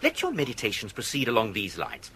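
An actor playing an urbane, unflappable young English gentleman in a radio comedy, speaking in a florid, mock-formal manner. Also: mid-range voice, huge pitch swings, a little fast. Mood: ardent, florid.

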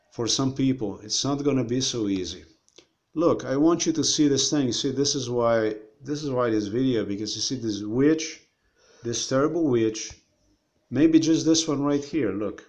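A man's voice: medium pitch, a little slow, darkish tone, lo-fi sound. A man in his thirties rambling through a screen-share vlog, repeating himself and talking straight to viewers from a room. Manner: meandering, repeats himself, addresses viewers directly. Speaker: vlogger.

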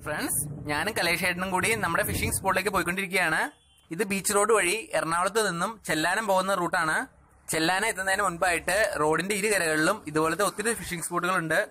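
A man's voice: sits high, fairly even intonation, fast, sharp tone, slight background noise. A young man from Kerala, host of a fishing channel, narrating a scripted voice-over in an informative, explanatory way. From Kerala, India.

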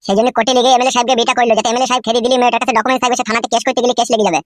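Man as a nervous, fast-talking village gossip. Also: very high-pitched, little pitch movement, a bit bright, no room sound, clean studio sound. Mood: nervous, confiding. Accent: rural West Bengal. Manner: confiding, grumbling, pleading. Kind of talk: comedy cartoon dubbing. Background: music.

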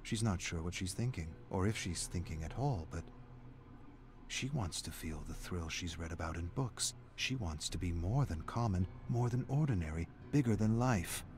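A man reading a scripted story aloud as narrator, in a measured, dramatic storytelling manner. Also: low-pitched, expressive, background noise.

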